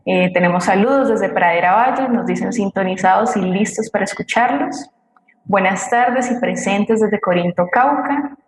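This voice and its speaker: A woman's voice: mid-range voice, normal speed. A Colombian woman co-hosting, reading out listener messages and greetings in an echoey recording. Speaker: co-host reading audience greetings. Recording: echoey room with faint noise, clicks.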